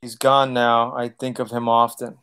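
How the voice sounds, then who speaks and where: A man's voice: mid-range voice, flat delivery, normal speed, sharp tone, clean tone. A man in his late thirties hosting a casual livestream Q&A from his living room, talking loosely, laughing often and swearing now and then. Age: 30s. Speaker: auto body channel host. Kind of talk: casual livestream Q&A reading chat. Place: living room, seated on leather couch.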